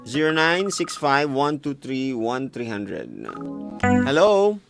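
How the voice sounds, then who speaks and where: A man's voice: medium pitch, very animated, takes it slowly, vocal fry. Filipino radio host, man, over prominent music. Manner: conversational, addresses listeners directly. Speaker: radio host taking listener calls and reading messages. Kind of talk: casual radio advice talk in mixed languages. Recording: music bed behind radio voice. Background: guitar and keyboard music.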